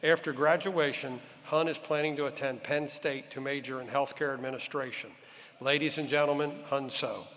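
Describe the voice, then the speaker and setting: A man's voice: medium pitch, moderate pace, slight background noise. A man formally introducing a student speaker at a graduation ceremony. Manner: formal, even announcing. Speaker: ceremony announcer. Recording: echoey hall with long reverb.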